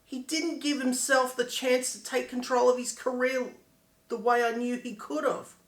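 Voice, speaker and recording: A man's voice: very high voice, level tone, moderate pace, fairly bright tone. A man playing a grieving, disappointed friend in an earnest, reflective dramatic monologue. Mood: sorrowful, disappointed. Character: grieving friend who believed in a failed musician. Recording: echoey room.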